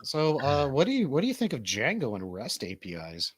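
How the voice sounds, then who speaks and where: A man's voice: medium pitch, wildly swooping pitch, brisk, husky, no room sound, studio quality. A man hosting a casual stream, asking questions and teasing in informal bro slang. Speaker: stream host interviewing a friend.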